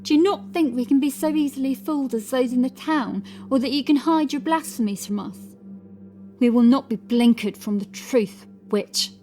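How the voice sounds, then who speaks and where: A woman's voice: sits high, normal speed, warm tone, soft background music, pitched above the performer's own voice, natural voice. Young female accuser in medieval horror, done by a woman narrator: harsh, clipped, unyielding. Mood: accusing. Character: Remy, hard, accusing young female ward.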